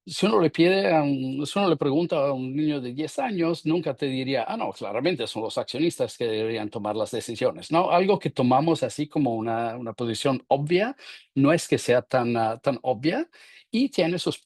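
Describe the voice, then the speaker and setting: Middle-aged Italian man, Italian accent, gravelly voice, hesitant webinar speaker who searches for words. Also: mid-range voice, expressive, moderate pace, darkish tone, no echo, clean studio sound. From Italy. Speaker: guest speaker, professor. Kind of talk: webinar presentation.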